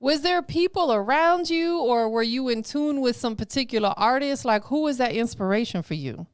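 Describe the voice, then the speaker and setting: A middle-aged woman hosts a podcast in an upbeat, slangy, hype style. Her voice sits a little high and sounds smooth and clear. The close mic gives a dry sound with no room around it. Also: expressive, normal speed, no echo. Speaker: podcast host. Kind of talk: casual interview chat.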